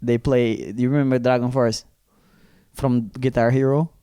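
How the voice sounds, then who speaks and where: A young man from Brazil co-hosting a comedy podcast, full of animated storytelling and casual swearing. His voice is mellow and dark, recorded close and dry with no room sound. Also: medium pitch, moderate pace, clear, resonant tone, no room sound. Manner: animated, swearing, acts out quotes. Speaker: podcast co-host.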